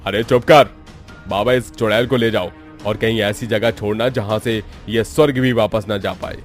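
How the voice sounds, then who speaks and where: A man's voice: on the low side, fairly even intonation, moderate pace, faint music behind, natural voice. A man voicing a scheming, bullying village headman in a horror cartoon, speaking in a bossy, threatening way. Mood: angry commanding. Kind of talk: Hindi horror cartoon acting.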